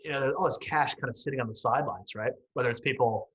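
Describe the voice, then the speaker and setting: Male interview guest, late 30s, bright voice, explains conversationally and hedges as he goes. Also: mid-range voice, fast talker. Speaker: podcast guest, company CEO.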